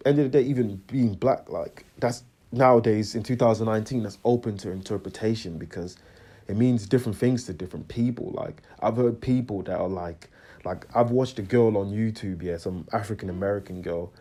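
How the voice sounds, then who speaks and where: A young man from Congo, telling a story in a slangy, animated rant and often asking "you know what I'm trying to say". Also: lowish voice, brisk. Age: young adult. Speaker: vlogger.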